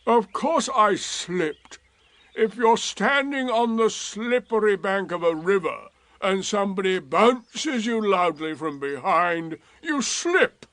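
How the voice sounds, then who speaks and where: A man putting on a slow, glum old donkey. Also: high voice, lively intonation, a bit bright, no room sound, voice pushed up, full character voice. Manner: slow, sarcastic drawl. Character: gloomy old grey donkey, slow and sardonic. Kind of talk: storybook reading.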